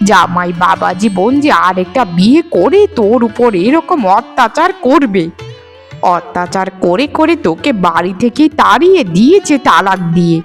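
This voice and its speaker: A woman voicing an elderly, sentimental village mother in a cartoon, talking in an emotional, drawn-out way. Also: mid-range voice, very animated, faint music behind. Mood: lamenting. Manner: emotional, drawn-out address, repeats phrases. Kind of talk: Bengali cartoon voice acting.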